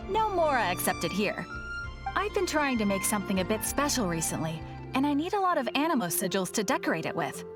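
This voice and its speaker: An actress playing a cheerful, sly shopkeeper of rare curios in a video game, with welcoming, playful sales patter. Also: female voice, high-pitched. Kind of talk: video game character acting.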